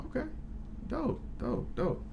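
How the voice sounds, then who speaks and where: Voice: takes it slowly, mellow, slight background noise. An interview host reacting briefly and asking loose, encouraging questions. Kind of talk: casual interview.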